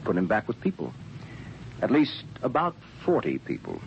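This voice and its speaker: An actor playing a seasoned, hospitable spaceship captain who explains things with easy authority in a 1950s radio drama style. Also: male voice, on the low side, wildly swooping pitch, normal speed, vocal fry, full character voice. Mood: grave, explaining. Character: seasoned, worldly male spaceship captain, matter-of-fact and hospitable. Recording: muffled, noisy old radio recording.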